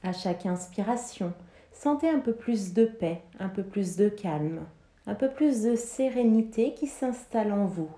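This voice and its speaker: A woman guiding a yoga nidra relaxation in a soothing, measured way, with pauses between short phrases. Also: mid-range voice, expressive, dark tone. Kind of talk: guided relaxation meditation, instructing listeners. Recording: roomy, reverberant sound.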